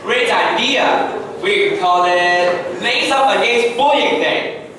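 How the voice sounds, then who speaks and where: An earnest schoolboy played by a male reader talks in a high voice. The amateur stage reading is recorded from a distance in a very echoey room, with faint noise behind. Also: slow, sharp tone, full character voice. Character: Mark, earnest schoolboy standing up to bullies.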